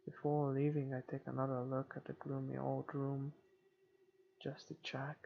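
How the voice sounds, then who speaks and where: A man reading first-person horror game narration aloud in a dramatic way, with some halting stumbles. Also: mid-range voice, monotone, gravelly, highs cut off, slight background noise. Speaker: gamer reading a visual novel aloud. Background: game music.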